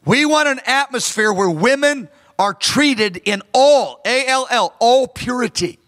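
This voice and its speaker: A man in his fifties preaches with a very high, bright and forceful voice, earnest and emphatic. His words ring out through a large hall with a long reverb trailing behind them. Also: expressive, measured pace. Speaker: pastor. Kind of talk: sermon. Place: large church stage. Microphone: handheld microphone.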